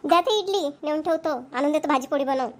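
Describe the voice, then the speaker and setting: A woman's voice: high-pitched, quick pace, heavy background noise, natural voice. A woman playing an exasperated, hard-working village wife in a comedy cartoon, complaining sharply in a Varhadi Marathi accent. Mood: resigned grumbling. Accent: Varhadi Marathi from Vidarbha. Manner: scolding, complaining, sharp-tongued.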